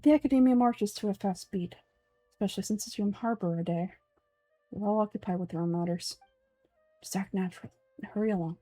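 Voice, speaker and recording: Woman playing a composed, aloof scholar, mellow tone. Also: mid-range voice, brisk, long pauses, faint music behind, natural voice. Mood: composed, informative. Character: composed, erudite, aloof scholar guide in fantasy game.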